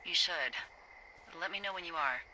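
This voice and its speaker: A woman's voice: lowish voice, moderate pace, bright, forceful tone, slight background noise, low-quality recording. A woman with an American accent playing a wry, flirtatious fire lookout in a video game, talking candidly over a two-way radio. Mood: relaxed, teasing. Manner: wry, teasing, conversational.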